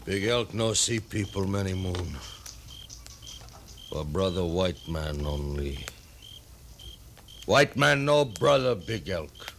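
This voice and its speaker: An actor playing a proud, wary captive Sioux chief in a 1950s radio western, speaking solemn, halting broken English. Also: male voice, low voice, animated, creaky, a bit bright, a little hiss or hum, full character voice.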